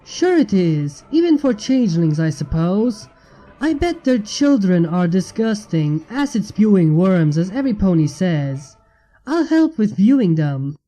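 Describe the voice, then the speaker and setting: A man voicing a young female pony who is sweet, excitable and expressive, in a story reading. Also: sits high, measured pace, poor audio. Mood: musing. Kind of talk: character voice in a fan fiction reading. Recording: music behind the voice.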